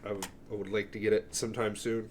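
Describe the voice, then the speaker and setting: A man's voice: fairly low pitch, flat delivery, fast, noisy background. A man giving an offhand, rambling show-and-tell as a collector, swearing freely and often interrupting himself. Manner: offhand, profane, self-interrupting. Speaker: music collector presenting a cassette collection.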